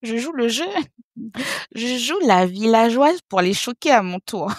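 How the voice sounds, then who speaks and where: A woman in her thirties from French Guiana, a podcast guest telling stories in a lively way, laughing and acting out what others said. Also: fairly high pitch, unhurried, dry recording, studio quality. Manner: lively, laughing, acts out other people's remarks. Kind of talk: casual interview storytelling.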